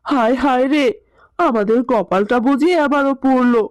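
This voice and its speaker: A poor father fox from a children's cartoon, acted by a woman. He laments in a plaintive wail to his wife. The voice is high and very dry. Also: normal speed, dry recording, natural voice. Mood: despairing. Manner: wailing, plaintive. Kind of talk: Bengali children's cartoon dubbing.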